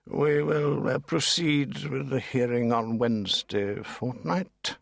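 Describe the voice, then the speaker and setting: A man as measured, stately Lord Chancellor. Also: medium pitch, creaky, dry recording, studio quality. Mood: formal, measured. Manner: formal, measured, slightly hesitant. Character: stately, unhurried Lord High Chancellor, judge.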